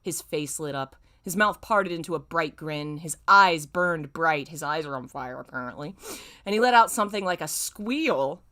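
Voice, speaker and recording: A woman's voice: low-pitched, expressive, moderate pace, bright, forceful tone. A woman streamer commenting casually and reading aloud in an exclamatory, informal, self-mocking manner.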